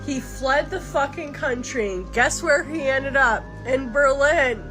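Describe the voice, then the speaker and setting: A woman's voice: high-pitched, measured pace. A woman from the United States ranting in a social media video, outraged, sarcastic and profane. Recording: clip with loud music underneath.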